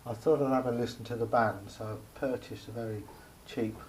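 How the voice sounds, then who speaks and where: A man giving a casual, unscripted hands-on demonstration as a hobbyist, speaking haltingly with pauses in mid-phrase. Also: mid-range voice, steady tone, a little mellow. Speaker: hobbyist showing a homemade radio aerial project. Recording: faint background noise, amateur camera recording.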